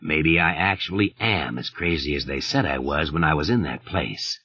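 A man voicing a menacing, mocking man who talks in a slow, low, easy drawl. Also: expressive, sharp tone, breathy edge, exaggerated voice. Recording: muffled lo-fi audio.

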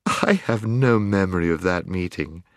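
A sweet witch queen from a storybook, as a man reads her, coaxing and faintly mocking. The words are archaic and courtly, delivered softly and slowly in a close, dry recording. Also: low-pitched, dry recording. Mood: soothing, coaxing. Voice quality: soft, quiet. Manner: archaic courtly speech, slow soothing cadence.

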